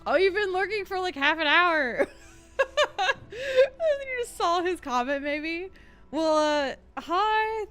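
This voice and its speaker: A woman livestreamer chatting casually with viewers over a game, giggly and quick to laugh. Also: sits high, fairly bright tone. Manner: giggly, bubbly, laughs often. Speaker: livestreamer host. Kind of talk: casual chat with live viewers while gaming.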